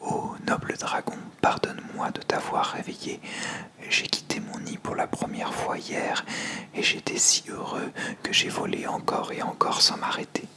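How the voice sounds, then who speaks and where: A storyteller voicing a small, polite and gentle songbird in a pleading whisper for an ASMR tale. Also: normal speed, natural voice. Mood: apologetic, pleading.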